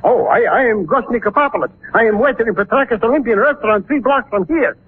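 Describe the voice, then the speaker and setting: An actor playing an insistent Greek immigrant waiter with a Greek accent and urgent broken English, in 1940s radio drama. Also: male voice, very high-pitched, quick pace, slightly rough, full character voice. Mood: excited, insistent. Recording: muffled, noisy old radio recording.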